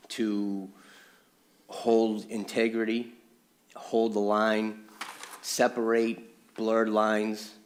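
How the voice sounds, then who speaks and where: A man speaking formally as a city councilor at a meeting, with a halting, hesitant delivery that repeats words. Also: on the low side. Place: indoor public council meeting room.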